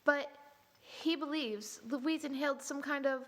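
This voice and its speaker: An actress playing a distressed young woman who speaks in a halting, hesitant way. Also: high voice, a bit bright.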